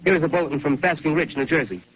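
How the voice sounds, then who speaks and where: A man's voice: on the high side, very fast, crowd noise, lightly acted. A man acting a radio announcer reading urgent war bulletins in a formal manner on a dull 1930s radio broadcast.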